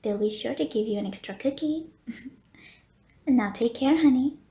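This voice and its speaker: Unhurried, courteous lady vampire nurse, acted by a woman. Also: a little high, mellow. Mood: flirty, pleased. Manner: genteel, formal wording with coy hesitations. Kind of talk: roleplay audio acting, addressed to the listener.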